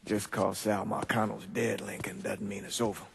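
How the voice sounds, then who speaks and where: An actor playing a weary, morally stern older priest in a game drama, husky and earnest, with a Southern American accent. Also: male voice, lowish voice, level tone, background noise. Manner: grave, earnest, halting. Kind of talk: dramatic video game acting.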